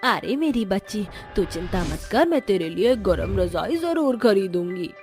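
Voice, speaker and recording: A woman playing a kindly old grandmother in a moral story, speaking in a gentle, reassuring way. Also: medium pitch, big pitch swings, a little slow, faint music behind. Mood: caring. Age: older.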